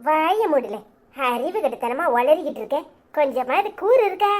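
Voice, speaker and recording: Brief: woman playing a fierce old grandma, loud rapid scolding, high lively voice.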